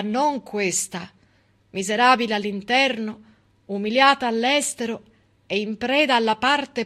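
A woman's voice: fairly high pitch, bright, forceful tone. A woman stage performer delivering a civic monologue with emphatic, dramatic storytelling. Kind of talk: theatrical monologue, civic oration.

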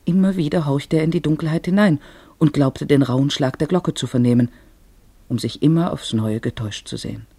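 A man narrating a literary radio play in a measured storytelling manner. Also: fairly high pitch, dark tone, slightly rough, no room sound.

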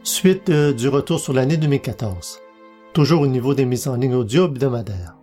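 A man with a medium-pitched voice presents a podcast. He reads a scripted rundown of releases in announcer fashion, with faint music playing behind him. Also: normal speed, mellow.

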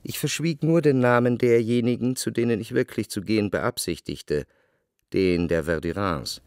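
An actor playing a refined, jealous, brooding man who narrates his memories in a measured, articulate radio play performance. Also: fairly low pitch, a little mellow, clear, resonant tone, dry recording, studio quality, close to an everyday voice. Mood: confiding.

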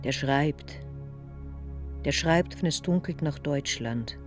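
Slow solemn man over clear music. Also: on the high side. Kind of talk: solemn dramatic poetry recitation.

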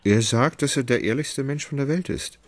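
An actor in a radio play, playing a gentle, indecisive landowner in love who speaks hesitantly and anxiously. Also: male voice, mid-range voice, moderate pace, no echo, natural voice. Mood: anxious, tender. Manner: hesitant, wavering. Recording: studio radio play with faint music behind.

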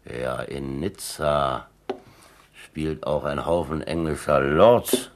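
A man's voice: extremely low pitch, takes it slowly, full character voice. A man acting in a radio play as a talkative, boastful middle-aged sea captain who teaches chess and tells leisurely anecdotes. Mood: boastful, amused. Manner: chatty, boastful, chuckling raconteur.

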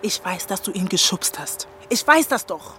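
A woman's voice: mid-range voice, very animated, normal speed, a bit bright. An actress playing a middle-aged stepmother, stern and wounded, in a naturalistic film drama. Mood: upset, defensive. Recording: faintly noisy film location sound.

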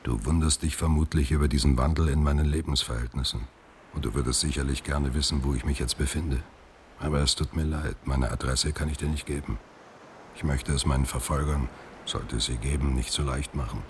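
A man acting a proud, washed-up middle-aged actor in a German radio drama, speaking in a measured, deliberate way. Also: extremely low pitch, steady tone, a little hiss or hum. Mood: reflective, composed.

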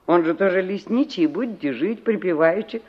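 An actress playing a middle-aged, complaining village aunt in a 1960s radio play, emphatic and full of rhetorical questions. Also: female voice, on the low side, animated, a little fast, husky. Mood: exasperated. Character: exasperated village aunt fretting over her stubborn niece. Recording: dull vintage radio recording.

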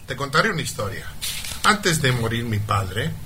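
An actor playing a cruel, greedy, scheming mine owner in a parody radio melodrama, forceful and contemptuous. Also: male voice, medium pitch, big pitch swings, moderate pace. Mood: scheming, cold. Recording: light background noise.

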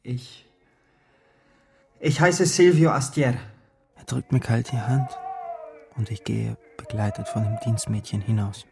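An actor playing a troubled, self-loathing young man from a poor background in a German radio play, with hesitant, dramatic pauses. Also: on the low side, level tone, gravelly, warm tone, faint music behind. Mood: bitter, ashamed. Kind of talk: German radio play acting with inner monologue.

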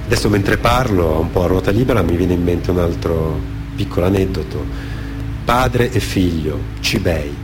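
Deep voiced guy from genoa telling stories for radio theatre, reflective, clear music playing behind him. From Genoa, Italy. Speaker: theatre storyteller, author-performer on a radio theatre programme. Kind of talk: dramatic storytelling, partly off-the-cuff anecdotes and partly read testimony.

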